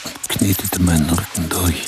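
An actor in a radio play as a man recounting, in measured detail, a strange dreamlike night of being pampered. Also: low voice, steady tone, lightly acted. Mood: recounting, absorbed. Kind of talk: German radio play monologue. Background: music.

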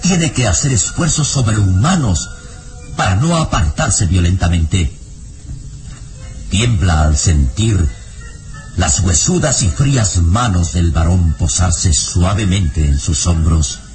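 A man's voice: low voice, expressive, takes it slowly, a little mellow. A man narrating a vintage radio serial in a dramatic, scripted style. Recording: old radio recording with music underneath.

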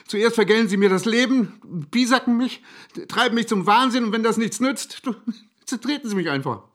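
A man's voice: high voice, lively intonation, moderate pace, a bit bright. An actor playing an embittered, self-mocking man in a radio drama, dramatic and resigned. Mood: anguished, bitter. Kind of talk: German radio drama monologue and dialogue.

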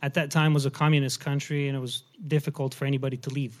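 A middle-aged man, born in Romania, giving a personal testimony in church, halting and self-deprecating with many fillers. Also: mid-range voice, flat delivery.

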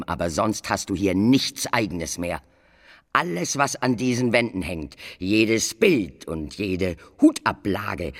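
Grim bitter man, deep voice, highly animated intonation, theatrical emphasis, radio drama. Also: heavily acted. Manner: theatrical, emphatic, swinging from murmur to outburst.

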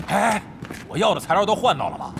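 A man's voice: high voice, wildly swooping pitch, quick pace, gravelly, full character voice. An actor playing a brash, crafty middle-aged veteran gamer in a Chinese audio drama, cocky and slangy, grumbling and swearing as he banters. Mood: scheming.

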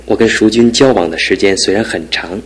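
Earnest young husband, low husky voice, radio drama delivery. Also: male voice, brisk. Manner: sincere, reflective, grateful. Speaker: son and husband.

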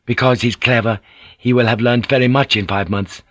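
A man performs a confident samurai counselor in a historical audiobook. He reasons in a measured, persuasive way with courtly respect. The sound is close and dry. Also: mid-range voice, fairly even intonation, fairly bright tone, no echo, natural voice.

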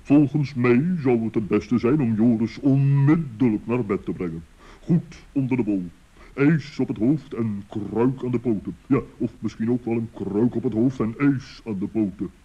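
Man playing a dark, husky, pompous old owl. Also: mid-range voice, moderate pace, close to an everyday voice. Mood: ponderous, pondering. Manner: hesitant, long-winded, pompous. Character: ponderous wise old male owl, pompous, muddled. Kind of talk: children's radio play acting. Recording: dull old radio recording.

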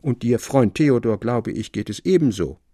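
A man narrating a literary radio drama in a measured, formal storytelling manner. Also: medium pitch, expressive, mellow, breathy edge, no echo, studio quality.

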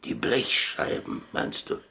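An actor playing a stubborn, wry working-class ex-boxer in a German radio drama, speaking in a dry, laconic, colloquial way. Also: male voice, takes it slowly, bright, forceful tone. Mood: gruff.

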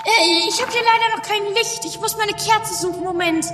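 Woman acting a very shrill, excitable castle dweller. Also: normal speed, far from the mic. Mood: matter-of-fact. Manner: dramatic, exclaiming. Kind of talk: amateur trashy comedy radio play acting. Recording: loud music over 1980s radio play.

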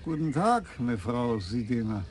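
An actor playing a courteous, elderly police commissioner in a German radio crime drama, polite and measured. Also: male voice, mid-range voice, sing-song, a little hiss or hum.

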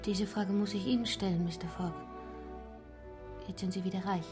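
Actress as a gentle, polite young woman, mid voice, radio play. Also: mid-range voice, fairly even intonation, moderate pace, over music, lightly acted. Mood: tender.

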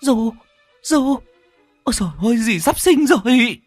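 A man's voice: extremely high pitch, lively intonation, unhurried, no echo, faint music behind, pitched above the performer's own voice, full character voice. A man voicing a panicked, commanding middle-aged wife who speaks in urgent, stammering bursts in a horror story. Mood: horrified.

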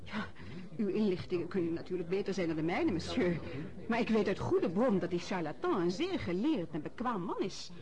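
An actress playing an effusive, bossy and affected high-society hostess in a radio play set in imperial Russia. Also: female voice, lively intonation, a little fast, natural voice. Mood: gracious chatter. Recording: busy room chatter behind the voice.

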